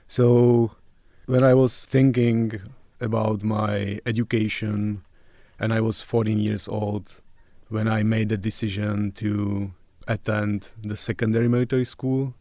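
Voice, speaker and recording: A middle-aged man with a Czech accent, speaking English as a second language, recounting personal history in a measured, polite interview style. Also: on the low side, warm tone, no echo. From Czech Republic. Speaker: podcast guest, special forces officer.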